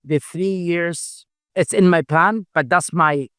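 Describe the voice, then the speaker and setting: A middle-aged man, a company founder, speaking English as a second language in a halting interview answer style, full of fillers and repeated words. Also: on the high side, sharp tone, clean tone, dry recording, studio quality. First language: not English. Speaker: founder and CEO, podcast guest.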